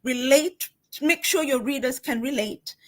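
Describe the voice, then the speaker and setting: A woman with a fairly high voice, talking as a guest author in a casual interview. She is emphatic and encouraging, often repeating a phrase to press her point. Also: unhurried. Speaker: guest author on a book talk show.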